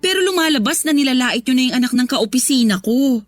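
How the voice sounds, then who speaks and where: Young pregnant filipina, kinda high voice, polite but firm, radio drama with light music under. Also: female voice, expressive, quick pace, no room sound. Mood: protesting. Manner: respectful, gently protesting. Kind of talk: Tagalog radio drama acting.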